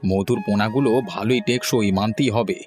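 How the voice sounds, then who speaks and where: A man's voice: fairly low pitch, fairly quick, a little mellow, faint music behind, lightly acted. A man playing a blunt, bargaining villager in a cartoon, informal and matter-of-fact. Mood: approving. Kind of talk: cartoon voice acting.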